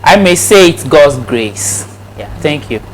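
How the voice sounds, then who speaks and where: A man's voice: on the high side, animated, measured pace, crowd noise, rough recording. A man with a Ghanaian accent answering casually as an interview guest and explaining things like a trainer. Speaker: interview guest, snail farming trainer and channel owner.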